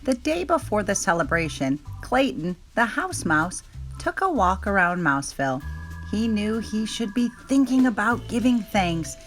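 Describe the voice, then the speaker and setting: A woman reads a children's picture book aloud, gentle and expressive with a mid-range voice. Soft music plays faintly behind her. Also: mid-range voice, moderate pace. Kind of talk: read-aloud storytelling.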